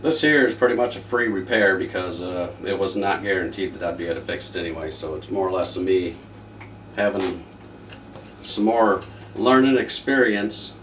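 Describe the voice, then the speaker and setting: A man's voice: deep voice, moderate pace, fairly bright tone, a little hiss or hum. A man giving a casual hands-on repair demonstration in a plain-spoken, folksy way, as the host of a repair video. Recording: distant microphone, music playing.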